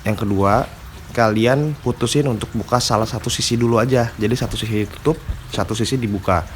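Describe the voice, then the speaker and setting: Male vlogger, slightly low voice, stuffy nose from a cold, relaxed how-to delivery with faint noise behind. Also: fairly quick. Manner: casual slangy talk, frequent fillers. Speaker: aquarium hobby vlogger. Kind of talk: casual tutorial chat.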